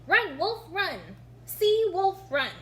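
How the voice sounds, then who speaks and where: A woman's voice: extremely high pitch, sing-song, takes it slowly, a bit bright, pitched above the performer's own voice, heavily acted. A woman voicing a big, proud, determined wolf with an emphatic, earnest manner in a children's storybook read-aloud. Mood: proudly halting. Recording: noticeable background hum.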